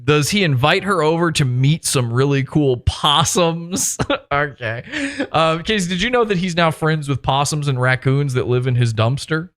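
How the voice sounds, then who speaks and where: A man's voice: a little high, moderate pace, a bit bright. A man hosting a podcast interview, sarcastic and mocking as he reads out comments and messages. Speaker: podcast host.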